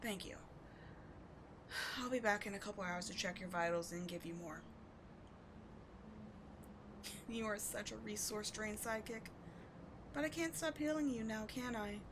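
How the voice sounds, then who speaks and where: A woman's voice: mid-range voice, normal speed, lightly acted. A woman playing a bitter, wounded villain who secretly cares, sardonic and exasperated, in a dramatic ASMR audio roleplay. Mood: reluctant, weary. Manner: sardonic, exasperated, emotionally intense. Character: bitter, wounded female villain secretly caring for rival sidekick. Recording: clearly audible background noise.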